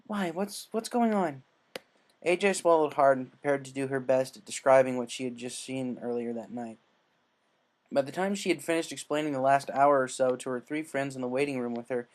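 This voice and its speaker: A man's voice: mid-range voice, fairly even intonation, brisk. A man reading a story aloud as scripted dramatic narration. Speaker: story reader.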